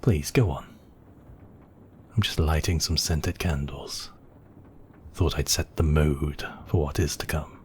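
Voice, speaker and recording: A man playing a seductive, possessive vampire count in an ASMR roleplay, speaking slowly and teasingly in a husky, breathy voice with whispered phrases. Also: rumbling low, fairly even intonation, darkish tone, a little hiss or hum. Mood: intimate, musing. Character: seductive, possessive male vampire count toying with his captive.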